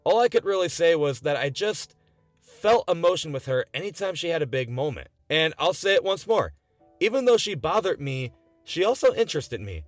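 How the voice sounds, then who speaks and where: A man's voice: on the high side, very animated, moderate pace, dry recording. A young man narrating a scripted video essay in an earnest, emphatic, conversational way. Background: background music.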